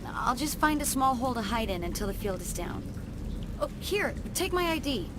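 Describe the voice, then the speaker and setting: A nervous trapped research assistant. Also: female voice, on the high side, sing-song, normal speed, background noise. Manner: nervous, apologetic. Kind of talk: video game cutscene. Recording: loud game music behind.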